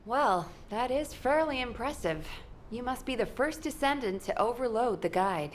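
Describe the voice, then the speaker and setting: A woman's voice: a little high, animated, moderate pace, a little hiss or hum, lightly acted. A woman playing a composed, authoritative military squad leader in a video game. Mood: brisk, formal.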